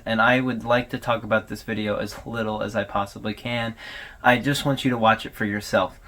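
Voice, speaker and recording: A man's voice: mid-range voice, fairly bright tone. A man hosting a video analysis, giving casual, conversational commentary with hesitations and informal asides. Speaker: host of a horror series analysis video.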